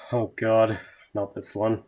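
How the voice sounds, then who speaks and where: A man's voice: lowish voice, a little mellow. A man doing a casual video reaction, reading captions aloud and muttering sweary asides. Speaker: YouTube reaction host.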